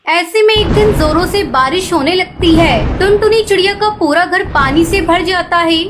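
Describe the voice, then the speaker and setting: A woman's voice: very high voice, moderate pace, noisy background. A woman narrating a scripted children's story.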